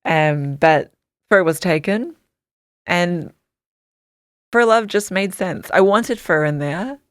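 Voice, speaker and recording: Woman from Samoa, very low voice, earnest interview guest, detailed, restarts often, dry close sound. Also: lively intonation, slow, dry recording. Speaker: podcast guest, dog skincare founder. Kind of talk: interview storytelling.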